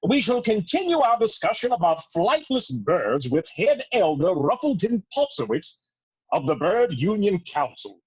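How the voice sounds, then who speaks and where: A man's voice: high voice, very animated, moderate pace, darkish tone, slightly rough, full character voice. A man playing an owl who hosts a radio talk show, showy and corny, in a comedy table read. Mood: showy, polished. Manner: showy broadcaster delivery with corny asides. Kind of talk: comedic audio drama table read, mock radio interview. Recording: dull lo-fi online call audio.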